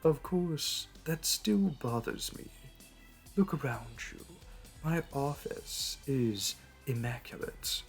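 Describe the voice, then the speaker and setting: A man's voice: medium pitch, lively intonation, takes it slowly, faint music behind, voice pushed up. A man playing a suave, flirtatious supervillain in a roleplay audio drama, smug, teasing and theatrical. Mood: smug flirtation. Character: smug, flirtatious male supervillain courting a hero.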